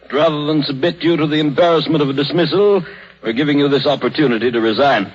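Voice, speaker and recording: A man's voice: on the high side, fast, breathy edge. An actor playing a formal, stern, pompous board chairman in a 1930s radio drama. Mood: cold, official. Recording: reverberant, muffled 1930s radio broadcast, music.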